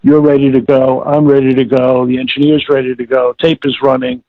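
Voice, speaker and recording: A man answering interview questions as a producer, measured and wry, searching for his words. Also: mid-range voice, little pitch movement, no room sound. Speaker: audiobook producer being interviewed. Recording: tinny, dry phone line.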